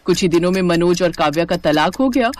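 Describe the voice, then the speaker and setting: A woman's voice: fairly low pitch, expressive, brisk, no echo. A woman narrator reading a scripted family story in storybook style.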